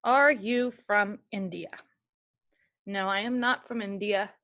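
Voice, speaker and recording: A woman's voice: mid-range voice, steady tone, slow pace, fairly bright tone, highs cut off. A woman teaching a remote class of young children, reading a picture book aloud and giving patient, step-by-step instructions. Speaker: elementary school teacher.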